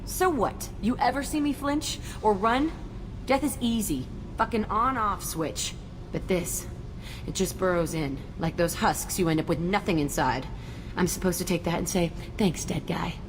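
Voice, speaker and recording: A woman's voice: lowish voice, moderate pace, natural voice. An actress playing a tough, guarded young female outlaw in a video game, blunt, bitter and defensive. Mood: defiant. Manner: blunt, foul-mouthed, defensive. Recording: loud background noise, game ambience.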